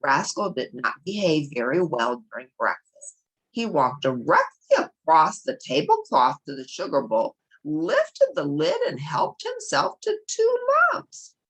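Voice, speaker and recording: A woman's voice: sits low, wildly swooping pitch, a little slow, vocal fry, a bit bright, breathy edge, poor audio. A woman reading a children's novel aloud as a storybook narrator. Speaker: storybook reader.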